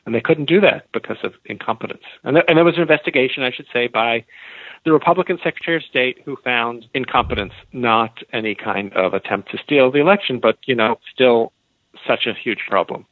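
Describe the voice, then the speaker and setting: An American man with a mid-pitched voice gives explanatory answers as an expert interview guest. The sound is dry and a little dull, like a lo-fi radio feed. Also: brisk, no room sound. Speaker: expert guest, law professor and author.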